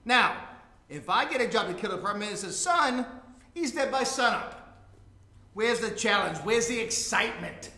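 An actor playing a theatrical, needy, taunting evil-genius spy in a live comic play reading, bombastic and petulant. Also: male voice, high-pitched, animated, moderate pace, sharp tone, exaggerated voice. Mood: pleading, boastful. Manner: bombastic, petulant, gleefully menacing. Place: live performance in a room. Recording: roomy live recording with background noise.

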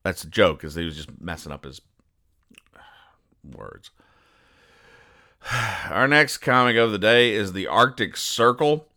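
A man hosting a podcast, reading out and explaining comic strips in a deadpan, mock-serious manner. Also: lowish voice, unhurried, pauses often, dry recording, clean studio sound. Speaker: podcast host.

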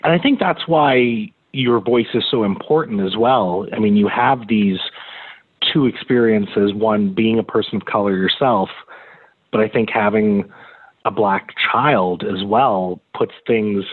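A man with a fairly low voice hosts a conversational interview. He speaks gently and a little tentatively, and the sound is narrow, like a telephone line. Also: a little slow, slightly rough. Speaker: host, interviewer.

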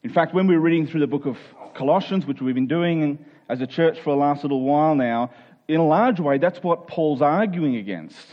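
A man's voice: on the high side, animated, a little fast. A man preaching in an informal, conversational way, as a pastor speaking to his church. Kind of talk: conversational sermon with everyday illustrations, prayer and scripture reading. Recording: dull, lo-fi sermon recording.

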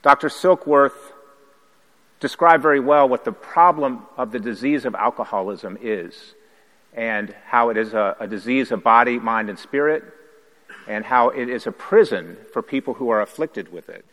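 A man who is a priest and pastor, whose first language is Haitian Creole, giving an earnest, reflective personal testimony in a measured way. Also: medium pitch, a bit bright. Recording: reverberant hall.

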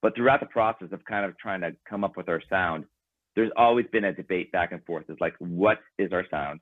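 A man's voice: low voice, fairly quick, dry recording. A man whose first language is Ukrainian, talking casually as an interview guest about his band, thoughtful and rambling with fillers. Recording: tinny phone-like line.

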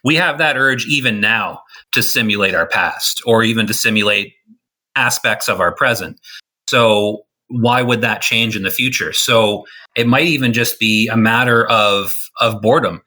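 Bright-voiced man explaining at length. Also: lowish voice, moderate pace. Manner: thoughtful, explains at length with restarts. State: losing his voice. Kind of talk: casual discussion.

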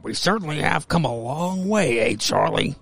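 Man playing folksy, agreeable business partner, swooping pitch. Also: sing-song, normal speed, gravelly, fairly bright tone, slightly rough, exaggerated voice. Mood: admiring. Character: agreeable men, admiring restaurant business partners.

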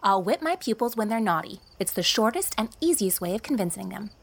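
A woman's voice: mid-range voice, sing-song, brisk, vocal fry, slightly rough, full character voice. A woman voicing a practical young female teacher in an audiobook, matter-of-fact and decided. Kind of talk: audiobook character dialogue.

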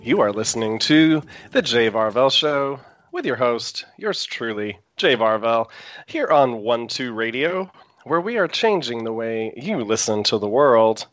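A man's voice: mid-range voice, very animated, unhurried, a bit bright, no room sound, muffled recording. A man hosting a live internet radio talk show, chatty and meandering with frequent fillers, speaking through a sore throat.

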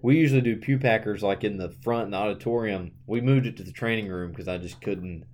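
A man with a fairly low voice co-hosts a casual church podcast. He chats in a friendly, self-deprecating way and drops in quick asides. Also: darkish tone, a little hiss or hum. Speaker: podcast co-host. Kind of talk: casual chat.

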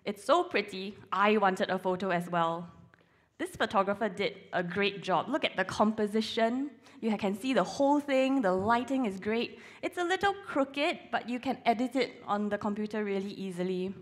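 A woman's voice: mid-range voice, lively intonation, normal speed. Playful young woman from Singapore. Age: 20s. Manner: playful, self-mocking storytelling. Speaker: church speaker. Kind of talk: humorous sermon talk.

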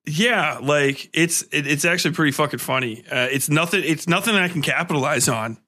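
Male podcast host, higher-pitched and very bright, informal and jokey. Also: a little fast. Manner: informal, freely swearing. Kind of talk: casual, joking podcast banter.

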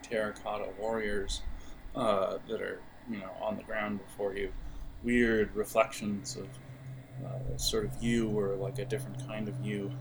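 Halting, conversational man running a role-playing game. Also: fairly low pitch, rough recording. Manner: halting, searching for words, conversational. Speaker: game master.

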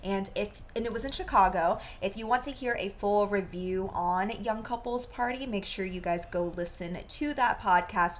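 A young woman whose first language is Vietnamese, co-hosting a podcast and telling a story in a giggly, animated way. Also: mid-range voice. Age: young adult. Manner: giggly, animated, laughs often. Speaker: podcast co-host. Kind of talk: casual storytelling chat.

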